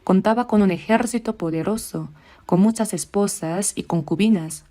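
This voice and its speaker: A woman delivers a sermon in a warm, mellow voice. She speaks at a measured pace and draws out the scripture passages slowly. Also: mid-range voice. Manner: measured, slows down for scripture readings. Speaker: preacher. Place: church.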